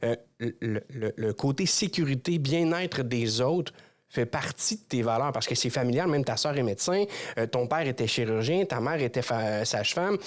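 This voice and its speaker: A man's voice: mid-range voice, lively intonation, normal speed. A man with a Québécois French accent hosting a podcast interview, talking casually and searching for words with frequent restarts. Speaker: podcast host.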